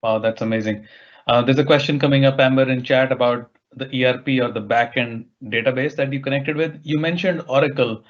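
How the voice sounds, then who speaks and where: A man's voice: mid-range voice, steady tone, fairly quick. A man hosting an online session, politely and appreciatively relaying questions in a conversational way. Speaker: webinar host and moderator. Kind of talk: conversational moderating, asking questions. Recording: slightly dull online-meeting audio.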